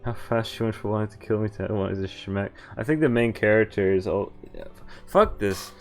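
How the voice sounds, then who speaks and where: A man's voice: fairly low pitch, brisk, soft background music. A man streaming a visual novel, reading its narration aloud and breaking off for wry comments, swearing and laughing.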